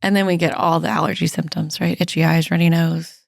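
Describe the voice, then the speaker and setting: A woman's voice: fairly low pitch, brisk, vocal fry, no echo, studio quality. Chatty woman host with a fading voice. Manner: chatty, laughs often, lively storytelling. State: losing her voice. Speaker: nurse practitioner and podcast host. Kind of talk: casual health podcast chat.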